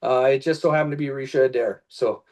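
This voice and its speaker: A man telling a relaxed story on a video call, pausing with fillers. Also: mid-range voice. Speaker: team member on a call.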